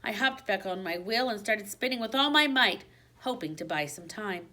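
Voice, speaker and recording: A woman reading a children's book aloud like a teacher, with expressive storybook narration and dramatic emphasis. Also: medium pitch, moderate pace. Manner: dramatic emphasis on exciting moments.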